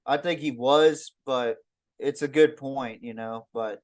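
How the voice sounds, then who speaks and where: A man's voice: medium pitch, takes it slowly. A man co-hosting a live podcast with casual banter, informal and laid-back, swearing freely. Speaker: podcast co-host.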